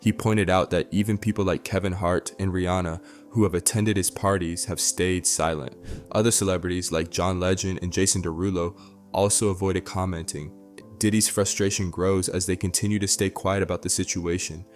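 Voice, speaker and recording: A man reading a scripted celebrity news narration in a measured, dramatic way. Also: sits low, fairly even intonation. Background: background music.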